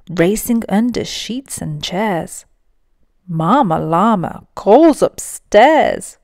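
A woman reads a picture book aloud for young children. Her delivery is extremely unhurried and deliberate, with lively, wide-ranging intonation and dramatic emphasis. The sound is dry and close. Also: fairly low pitch, no echo.

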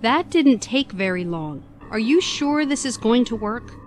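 A woman's voice: on the high side, lively intonation, measured pace, a little hiss or hum. A woman playing a time traveller's outspoken, concerned companion in a sci-fi audio drama. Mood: skeptical, concerned.

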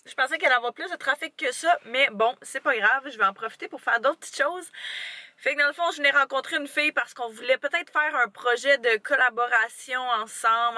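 A woman's voice: a little high, brisk, echoey room. A young woman in her twenties with a Quebec French accent, chatting casually to a handheld camera at home and in her car. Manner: chatty, relaxed, fillers like "fait que". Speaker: vlogger. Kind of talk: casual vlog chat. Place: home kitchen and parked car. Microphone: handheld camera at arm's length.

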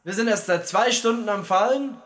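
Ein Mann spricht einen besorgten, fragenden Gefährten in einer Hörgeschichte. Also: male voice, sits high, moderate pace, a bit bright, voice pushed up. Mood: questioning. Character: anxious, questioning male companion. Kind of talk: audiobook character voice.

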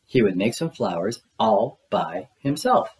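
A man's voice: fairly low pitch, big pitch swings, measured pace, a little mellow. A man reading a children's storybook aloud as its narrator.

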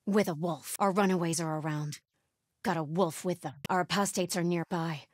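Woman acting zealous female cult warrior, husky, commanding, hostile, terse game barks, dry recording. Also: lowish voice, fairly even intonation, moderate pace, dry recording, natural voice. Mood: wary, alert. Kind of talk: video game combat barks.

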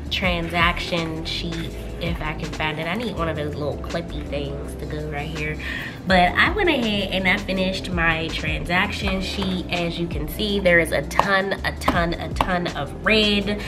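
Stuffy woman, slow easygoing chat, kinda low voice, music going behind her. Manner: chatty, laughs often, drawls y'all. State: stuffy from a cold. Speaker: budgeting vlogger. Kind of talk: casual chat while working in a planner. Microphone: camera overhead on a desk.

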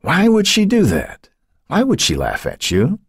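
A man reading the part of a calm male psychiatrist who prods with measured questions, husky voiced. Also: fairly high pitch, dry recording, clean studio sound, pitched above the performer's own voice, exaggerated voice. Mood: probing. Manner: measured, prodding, faintly mocking.

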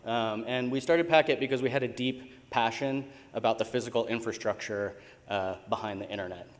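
A man's voice: medium pitch, fairly quick. An American man in his thirties giving a conference presentation in an informal, hesitant way, with frequent ums. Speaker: conference keynote speaker, tech company co-founder. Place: indoor conference stage in a large hall. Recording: reverberant hall sound from stage PA.

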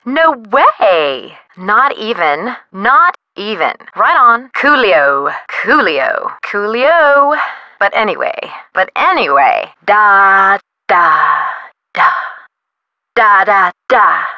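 Slow, flippant, eccentric woman artist. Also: medium pitch, very animated, no echo, lo-fi sound. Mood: flippant, quirky. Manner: quirky, drawling, flippant. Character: eccentric, flippant, theatrical female avant-garde artist. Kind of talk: video game dialogue.

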